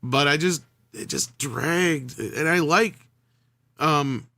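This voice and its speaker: A man's voice: fairly high pitch, expressive, slow pace, sharp tone, dry recording. A man in casual conversation, giving candid opinions with some hesitation. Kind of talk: casual chat.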